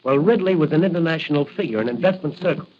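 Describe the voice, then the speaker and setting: An actor playing an earnest, confident young rookie policeman in a 1940s radio drama, brisk and explanatory. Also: fairly high pitch, expressive. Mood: earnest, urgent. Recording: muffled, noisy old radio recording.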